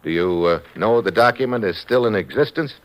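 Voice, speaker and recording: An actor playing a tough, wry secret agent with an American accent in a 1950s radio drama, blunt and clipped. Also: male voice, lowish voice, animated, brisk, natural voice. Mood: dry, businesslike. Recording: old radio recording, faint noise.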